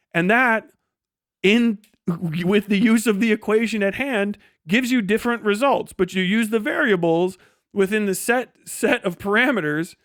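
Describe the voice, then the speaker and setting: A man with a notably high voice talks off the cuff as a livestream host. He is blunt and sarcastic and swears freely. The sound is dry and close, with very little room in it. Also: fairly bright tone, no room sound. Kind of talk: casual livestream commentary.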